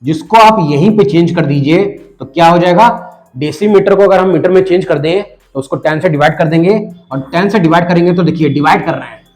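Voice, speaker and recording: A man with a hoarse voice from a cold, patiently explaining a math lesson step by step and talking straight to the viewer. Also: on the high side, a little mellow, faint music behind. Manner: patient, addresses the viewer directly, repeats numbers slowly. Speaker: math teacher.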